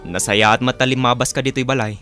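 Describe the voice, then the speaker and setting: A man's voice: medium pitch, steady tone, fast, sharp tone, faint music behind, close to an everyday voice. A man playing a weary, grumbling father in a radio comedy drama, plaintive and speaking with an Ilocano accent. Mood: weary, hopeful.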